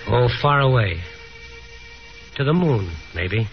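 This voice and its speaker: Wistful dramatic son in old radio drama, reflective, dull sound with music. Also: male voice, medium pitch, expressive, takes it slowly. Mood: regretful. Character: restless, wistful dreamer son, haunted by memory. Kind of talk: 1950s radio drama acting and narration.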